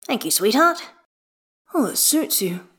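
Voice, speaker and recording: A woman's voice: on the high side, big pitch swings, measured pace, a bit bright, breathy edge, pitched above the performer's own voice, exaggerated voice. A woman voicing a flamboyant, teasing man with an arch, theatrical manner in an audio story. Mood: arch, playful.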